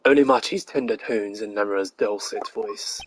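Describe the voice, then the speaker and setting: Smooth, vain rock-idol guy for a game, played by a woman; low register, flirtatious showman delivery. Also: moderate pace, a little hiss or hum.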